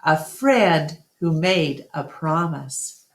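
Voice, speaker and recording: A woman voicing an earnest, gentle male gorilla who speaks hesitantly as a protector in a children's storybook. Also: sits low, lively intonation, very slow, heavily acted. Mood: tender, hopeful. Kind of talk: children's storybook read-aloud.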